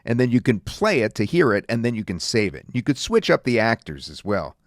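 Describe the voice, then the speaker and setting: A man reviewing and demonstrating software in a casual, rambling way with many fillers. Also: mid-range voice, big pitch swings, fairly quick, no room sound, clean studio sound. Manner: casual, filler-heavy talk. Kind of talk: screen-recorded software demo.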